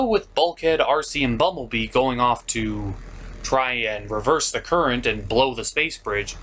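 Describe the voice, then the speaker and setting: A man's voice: mid-range voice, sharp tone. Male review host, comedic recap style. Emphatic, exasperated, swooping delivery over faint noise.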